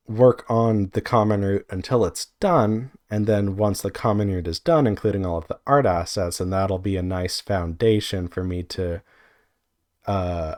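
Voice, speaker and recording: Male indie creator, lowish voice. Casual vlog delivery, unscripted and hesitant, self-correcting, thinking out loud. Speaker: indie visual novel developer giving updates. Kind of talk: casual rambling vlog update.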